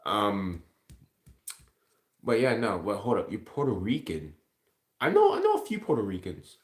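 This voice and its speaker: Echoey, low-voiced man rambling. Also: huge pitch swings, a little slow. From Ontario, Canada. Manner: rambling, heavily profane. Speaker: live streamer. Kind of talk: casual livestream chat, replying to comments. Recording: echoey room sound.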